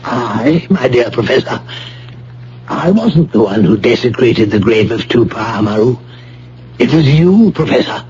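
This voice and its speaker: An actor playing a suave, condescending, menacing art thief and schemer in a radio drama. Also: male voice, medium pitch, animated, measured pace, darkish tone, lightly acted. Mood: pointed, insinuating. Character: smooth, menacing self-confessed male art thief and schemer. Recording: dull old radio broadcast with hum.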